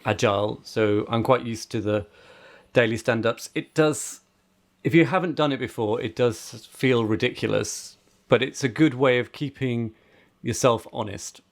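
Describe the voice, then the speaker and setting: A man's voice: medium pitch, moderate pace. A man chatting casually as a podcast co-host, with an explanatory way of talking.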